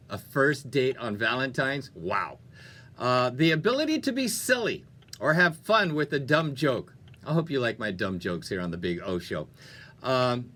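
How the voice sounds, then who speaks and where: Male radio host, medium pitch with a bright, punchy edge; chatty, playful DJ delivery that wanders into asides. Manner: playful, chatty, self-interrupting. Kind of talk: upbeat music-radio DJ patter.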